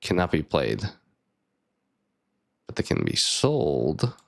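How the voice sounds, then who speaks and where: A man streaming a card game live, thinking aloud and chatting with viewers, his voice a bit raspy. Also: a bass voice, huge pitch swings, takes it slowly. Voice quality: slightly raspy. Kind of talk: casual live gameplay chat.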